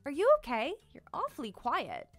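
Storybook mom, voiced by a female reader: high-pitched, gentle, reassuring, affectionate toward her little girls. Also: wildly swooping pitch, normal speed, dry recording, soft background music, exaggerated voice. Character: gentle, tired, reassuring mother of two girls.

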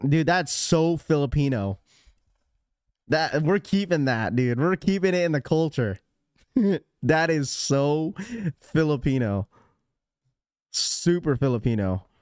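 A young man talks casually into a close studio mic from a small room, joking with his chat and laughing often. His voice sits somewhat high for a man, and it comes through dry with almost no room sound. He rambles and teases freely, sometimes slipping into mimicked voices. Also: lively intonation, takes it slowly, pauses often, darkish tone, no echo. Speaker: sneaker livestream host. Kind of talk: casual live stream chat. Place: small room with blue lighting, indoors. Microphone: studio mic on a boom arm, close.

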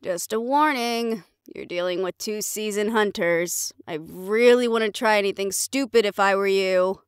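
A woman's voice: medium pitch, unhurried, smooth, no room sound, clean studio sound, close to an everyday voice. A woman playing a tough, stubborn female hunter with a wry, defiant, casual manner in an audio roleplay.

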